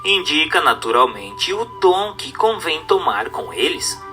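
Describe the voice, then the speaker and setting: A man with a Brazilian accent reads a religious text aloud as a narrator, in a formal, measured, didactic manner over music. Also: a little high, sing-song. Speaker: narrator reading a book aloud. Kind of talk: scripted audiobook-style narration of a religious text.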